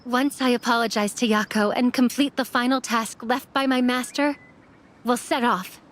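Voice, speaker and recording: A woman's voice: on the high side, fairly even intonation, moderate pace, close to an everyday voice. A woman playing a young immortal apprentice mortician in an anime game, earnest and subdued. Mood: resolute.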